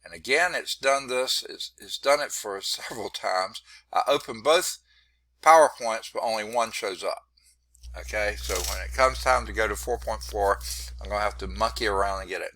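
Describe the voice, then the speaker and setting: A hoarse, congested man teaching a math class over a video call, narrating his screen setup in a rambling, self-deprecating way. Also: fairly low pitch, bright, forceful tone, no echo. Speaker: math instructor. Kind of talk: online class lecture. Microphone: computer mic on a video call.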